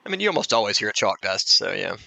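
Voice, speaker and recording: A man's voice: lowish voice, sing-song, quick pace, creaky, bright, forceful tone, no room sound. A man co-hosting a podcast in casual chat, with a jokey, laid-back way of talking. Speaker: podcast co-host.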